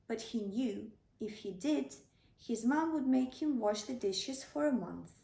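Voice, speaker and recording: A woman's voice: fairly high pitch, vocal fry, warm tone. A woman narrating a scripted children's bedtime story as a storyteller. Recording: echoey room, slightly distant microphone.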